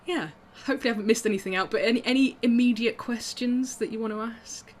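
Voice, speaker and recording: A woman's voice: fairly high pitch, quick pace, slight background noise. A woman musician talking casually through her instruments on a video call, chatty and self-deprecating with frequent ums and little laughs.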